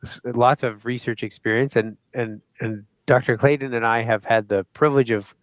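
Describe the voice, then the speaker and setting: A man's voice: mid-range voice, fairly even intonation, no room sound, poor audio. A middle-aged man hosts an interview over a video call. His voice sits in the middle of a man's range and sounds dry and close. He talks in a friendly way, stopping and restarting with plenty of fillers. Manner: friendly, halting, many fillers. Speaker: host. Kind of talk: interview hosting.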